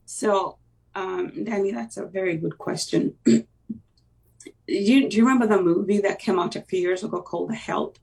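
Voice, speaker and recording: Gravelly voice, halting. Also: medium pitch, darkish tone, recorded from a distance, poor audio. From Uganda. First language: Luganda. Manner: reflective, halting, with many fillers and restarts. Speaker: interview guest. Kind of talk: personal faith testimony in conversation.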